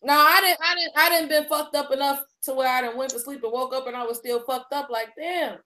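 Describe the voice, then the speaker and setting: A woman in her thirties co-hosting a live stream, bantering and teasing quickly. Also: high voice, steady tone, bright, forceful tone. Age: 30s. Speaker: co-host. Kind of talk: casual live-stream chat.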